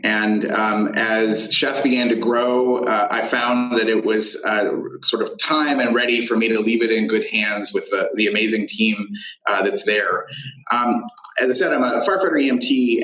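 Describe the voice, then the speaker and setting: A man being interviewed as a tech founder, explaining his business in halting phrases with frequent pauses. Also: medium pitch, steady tone, pushed and bright, echoey room, slight background noise, low-quality recording.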